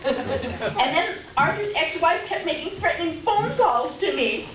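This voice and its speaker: High-pitched needy female houseguest played by a woman, dramatic rambler, swooping delivery, roomy stage recording. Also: sing-song, a little slow, exaggerated voice. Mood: rambling, needy. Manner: gushing, rambling, dramatic, oblivious. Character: vivacious, needy, chattering middle-aged houseguest woman, an emotional mess. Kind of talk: comic stage readers theater. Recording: roomy, distant, dull, noisy stage recording.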